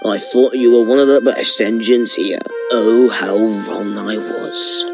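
A man's voice: lowish voice, steady tone, slow pace, over music. A man voicing a stern but civil railway manager in a cartoon, speaking with authority.

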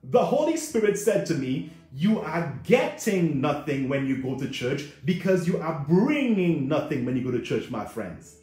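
A man's voice: fairly high pitch, sing-song, normal speed, hardly any pauses, darkish tone. A man preaching a fervent sermon, emphatic and repetitive, addressing his listeners directly. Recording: very echoey room, music behind.

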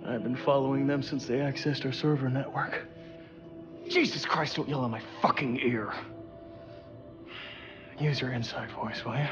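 Man playing sarcastic hacker fixer, cocky, irritable, mid pitch, muffled game audio, music underneath. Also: steady tone, moderate pace, pauses often, close to an everyday voice. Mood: irritated, businesslike. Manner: sarcastic, foul-mouthed. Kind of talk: video game cutscene acting. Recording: dull band-limited sound.

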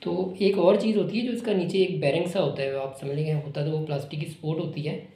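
A man casually explaining, step by step, how to unbox and assemble a product. He talks in a relaxed, repetitive way while working with his hands. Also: on the high side, fast talker. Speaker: online shop product demonstrator. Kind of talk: unscripted unboxing and assembly walkthrough. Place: indoor room with a table. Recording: echoey room with noticeable background noise.

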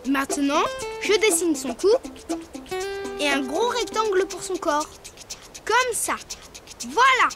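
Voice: very high voice, expressive, takes it slowly, long pauses, exaggerated voice. An actor voicing a cheerful, helpful young rabbit who explains drawing step by step in a childlike way for a preschool cartoon. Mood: explaining. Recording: music under the voice.

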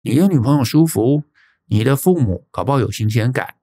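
A man's voice: medium pitch, animated, fairly quick, dark tone. A man with a Taiwanese Mandarin accent hosting a podcast, talking through advice conversationally and checking in with rhetorical questions. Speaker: podcast host.

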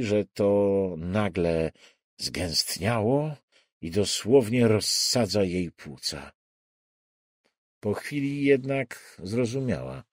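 A man reading a thriller audiobook as scripted dramatic narration, deliberate and suspenseful in his delivery. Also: on the low side, no room sound, studio quality.

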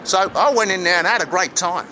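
A man playing a brash, excitable, matey Australian actor with an Australian accent and plenty of slang, in a vlog monologue. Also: high-pitched, a little fast, slight background noise, rough recording.

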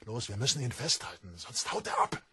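An actor playing a suspicious, blunt, hot-headed poet in a German radio drama, speaking with urgency. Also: male voice, mid-range voice, sing-song, a little fast, noisy background, natural voice. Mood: conspiratorial.

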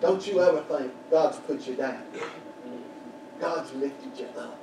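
An older man preaching a sermon in a small church, building to shouted peaks and dropping to quiet prayer. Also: a little high, measured pace. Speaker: pastor. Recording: roomy church echo from far off, background noise.

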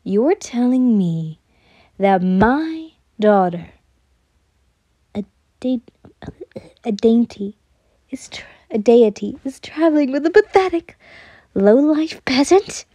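A cold, imperious noble matriarch, put on by a woman narrator, with a fairly high voice. She speaks with contempt and commands like a ruthless villain in an audio story. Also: measured pace, dark tone, dry recording. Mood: cold outrage.

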